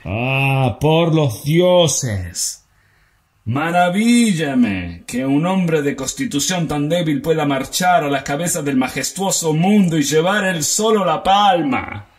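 A man's voice: a little high, animated, takes it slowly. A man in his forties performing a theatrical monologue as a bitter, envious Roman conspirator, declaiming with sneering mockery. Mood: scornful. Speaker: stage actor.

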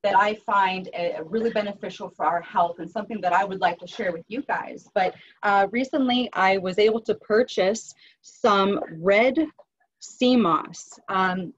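A woman's voice: mid-range voice, a little slow. Woman, 30s, Western Pennsylvania accent, upbeat cooking-class coach, ums and restarts, dull lo-fi sound. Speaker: health coach doing a cooking demo. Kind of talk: live cooking class talk. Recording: distant mic, dull sound, faint noise.

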